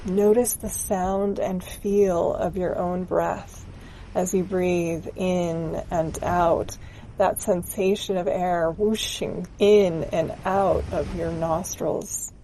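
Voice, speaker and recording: Slow, mellow woman guiding a meditation. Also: fairly low pitch, fairly even intonation, a little hiss or hum, poor audio. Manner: gentle, soothing, unhurried. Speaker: guided meditation narrator. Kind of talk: guided meditation, scripted narration.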